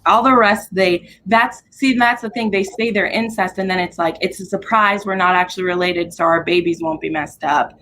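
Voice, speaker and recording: A woman's voice: on the low side, steady tone, normal speed, few pauses, fairly bright tone. A woman talking casually about books as a reviewer on a group livestream. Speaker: book reviewer in a livestream readalong. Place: at home on a group video call. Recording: noisy home livestream audio.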